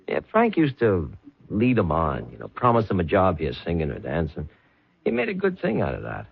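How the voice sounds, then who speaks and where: Gravelly streetwise nightclub man. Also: low-pitched, huge pitch swings, no room sound, exaggerated voice. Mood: gossipy. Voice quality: gravelly, raspy. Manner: rambling, halting, slangy. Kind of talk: 1970s radio drama acting. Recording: tinny old radio broadcast.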